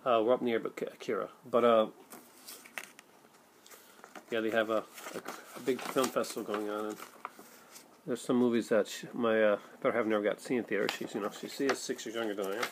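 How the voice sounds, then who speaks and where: A man in his forties talks casually into a desk webcam in a room at home, picked up by the built-in mic. His voice sits fairly low, and he rambles along, stopping and restarting with plenty of fillers. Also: flat delivery, a little fast, pauses often, slight background noise. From Canada. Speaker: vlogger, film collector. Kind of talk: casual chat to camera. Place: home room with shelves of films, indoors.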